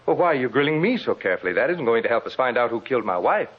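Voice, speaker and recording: A muffled vintage radio recording of a nervous, evasive husband. His husky voice swings widely in pitch. He is hesitant, pleading and melodramatic, in the manner of a 1940s thriller. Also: sing-song, rapid-fire. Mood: nervous, defensive.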